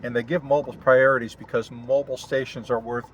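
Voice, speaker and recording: A man talking casually and explanatorily in a vlog recorded inside a car, in short phrases with pauses. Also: medium pitch, flat delivery, a bit bright. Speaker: vlogger and amateur radio operator. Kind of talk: casual vlog talk to camera. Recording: clear road and vehicle noise. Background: car and outdoor ambience noise.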